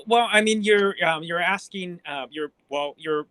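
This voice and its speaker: A man asks probing questions on a video call and keeps restarting his sentences. His voice is bright and forceful and sits slightly high. The sound is dry and close. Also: a little slow, no echo, rough recording. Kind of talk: questioning at a forum.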